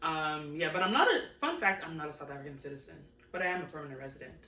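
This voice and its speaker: A young woman from Nigeria co-hosting a podcast in casual chat, animated and giggly with quick asides. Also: low voice, a little hiss or hum. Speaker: podcast co-host. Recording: roomy sound from a distant mic.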